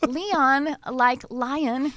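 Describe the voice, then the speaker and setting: A woman with a Texas accent hosting a podcast, talking casually and lively, often laughing and speeding up in bursts. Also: high-pitched, very slow, no room sound. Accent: Texan. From Southern US. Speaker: podcast host and channeler. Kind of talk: casual conversational podcast chat.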